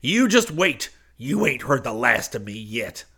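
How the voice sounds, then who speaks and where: A man voicing a pushy, folksy con-man preacher in an audiobook, impassioned and a little hoarse. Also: fairly high pitch, big pitch swings, moderate pace, fairly bright tone, voice pushed up. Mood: agitated, threatening. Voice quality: hoarse, breathless.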